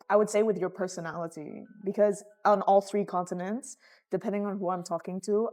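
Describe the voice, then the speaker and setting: A woman's voice: on the low side, warm tone. A young woman from Vietnam with a mixed Vietnamese-American English accent, chatting casually and thinking aloud with fillers. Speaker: guest friend. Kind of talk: casual conversation.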